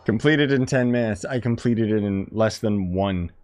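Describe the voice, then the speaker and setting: A man's voice: on the low side, normal speed, a little mellow, slight background noise. A man giving casual, slangy live commentary as he plays a game and chats with viewers. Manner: informal, slangy, exclaims and chuckles while playing. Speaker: gaming streamer.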